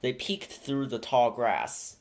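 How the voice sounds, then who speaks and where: Man reading a kids book aloud, steady and clear, middle pitch. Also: slow, a little hiss or hum. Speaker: storybook reader. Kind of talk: children's read-aloud narration.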